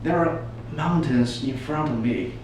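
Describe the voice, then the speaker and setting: A man's voice: fairly high pitch, measured pace, a little mellow. Echoey, distant man giving a theatrical speech. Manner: theatrical, emphatic, rhetorical questions to listeners. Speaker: club speech contestant. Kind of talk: storytelling contest speech, dramatic public speaking. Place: large echoey room, speaking to an audience. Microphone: distant microphone. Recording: echoey room from far off, faint noise.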